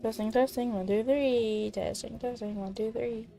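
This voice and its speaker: A woman's voice: fairly high pitch, steady tone, moderate pace, creaky, a crowd in the background. A woman game streamer chatting casually through a playthrough, giggly and a bit scatterbrained.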